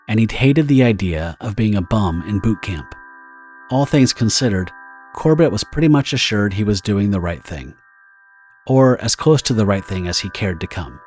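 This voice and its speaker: Guy reading a dramatic story, lowish voice, measured and theatrical, bit of music under it. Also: darkish tone. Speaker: narrator. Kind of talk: dramatic scripted story narration.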